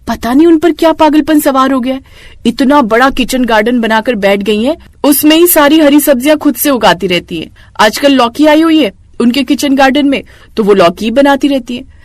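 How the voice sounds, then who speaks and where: A woman's voice: sits high, normal speed, dry recording, lightly acted. Woman acting a scheming, grumbling young daughter-in-law. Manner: dramatic, complaining. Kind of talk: Hindi moral story voice acting.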